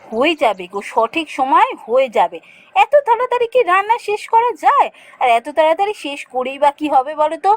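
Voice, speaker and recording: Earnest, dutiful village wife for a fairy-tale cartoon, played by a woman: very high voice, lively melodic swings, dry close sound. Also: brisk, no echo. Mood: calm, assured.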